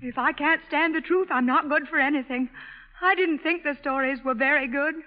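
A woman's voice: high voice, a little fast, lightly acted. An actress playing an eager, impulsive, self-doubting young woman, earnest and emotional, in 1940s radio drama style. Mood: flustered, hurt. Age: young adult. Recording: phone-like old 1940s radio broadcast.

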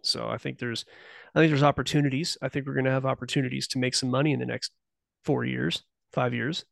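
Gravelly-voiced rambling man guest. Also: medium pitch, steady tone, quick pace, no echo, studio quality. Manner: rambling, self-interrupting, speculative. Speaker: podcast guest. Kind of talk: casual conversational interview.

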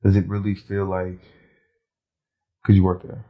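A man's voice: low voice, monotone, a little slow, phone-quality sound. A man hosting a podcast, asking blunt, slangy questions in casual interview chat. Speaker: podcast host.

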